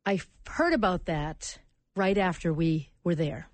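Animated American woman telling a story. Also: on the low side, unhurried, no room sound. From United States. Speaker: radio guest. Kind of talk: interview storytelling.

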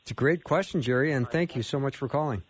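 A man's voice: medium pitch, fast talker, slightly rough. A man with an American accent hosting a live radio call-in show in a casual, conversational style.

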